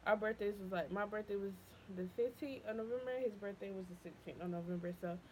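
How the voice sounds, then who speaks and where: A young woman, telling a personal story to camera in a casual, animated way, jumping high when she quotes herself and dropping low and quiet when upset. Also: fast talker, a little hiss or hum. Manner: animated, voice leaping high when quoting her past self. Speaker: vlogger.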